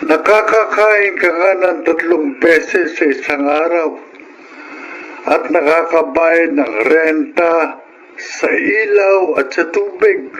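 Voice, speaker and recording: A man's voice: fairly high pitch, takes it slowly, fairly bright tone. A man playing a stern, indignant Filipino father of grown children in a radio drama. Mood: scolding. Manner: indignant, emphatic, reproachful. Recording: dull lo-fi sound, faint background noise.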